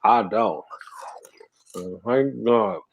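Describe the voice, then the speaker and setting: Chill guy murmuring soft and quiet on his eating stream, low voice, real laid back. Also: drawn-out words, creaky, muffled recording. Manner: soft, quiet, half-whispered talk; relaxed and drowsy, reading comments aloud. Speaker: ASMR mukbang channel host. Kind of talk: casual live-stream chat while eating.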